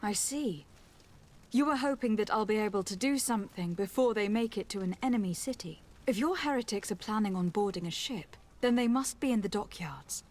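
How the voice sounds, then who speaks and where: An actress playing a composed young noblewoman diplomat in a video game, speaking in a measured, formal and polite way. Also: mid-range voice, expressive, a little mellow, natural voice. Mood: composed, inquiring. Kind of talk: video game dialogue acting.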